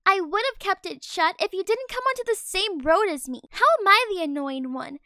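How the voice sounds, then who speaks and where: A woman's voice: very high-pitched, expressive, a little fast, pushed and bright, dry recording, studio quality, full character voice. A young female voice actor playing a snappy, sassy teenage schoolgirl in an amateur game movie.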